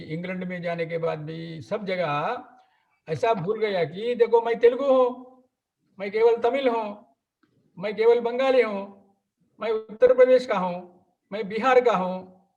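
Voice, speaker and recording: A man giving an ideological talk at a gathering, building his points through long repeated lists in a slow, emphatic cadence. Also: sits high. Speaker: speaker at an organisation's gathering. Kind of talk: ideological lecture. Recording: dull lo-fi recording.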